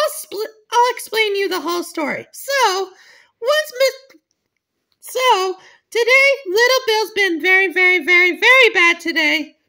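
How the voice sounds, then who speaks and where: A woman acting an indignant, complaining character in an amateur school cartoon story, with a whiny, halting delivery. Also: very high voice, bright, forceful tone, exaggerated voice. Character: indignant, tattling schoolteacher and wronged young bear pupil. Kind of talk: amateur role-played children's cartoon story.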